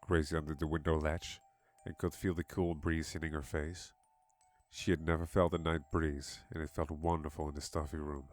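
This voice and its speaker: A man's voice: rumbling low, level tone, moderate pace. A man giving a dramatic scripted narration of a horror story. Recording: music behind the voice.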